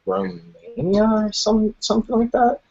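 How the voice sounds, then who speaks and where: A man's voice: high-pitched, huge pitch swings, measured pace, darkish tone. A man in his early twenties chatting casually about music, laid-back and profane, laughing often. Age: 20s. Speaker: music producer guest. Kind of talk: casual podcast chat. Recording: dull lo-fi stream audio.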